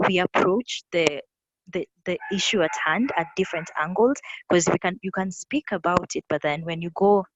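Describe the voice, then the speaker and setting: A young adult woman from South Sudan with an East African accent, giving a webinar presentation in a halting way with repeated words. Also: lowish voice. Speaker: youth advocate presenting. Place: online call from a windy spot. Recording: windy, noisy online call with clicks. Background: wind noise.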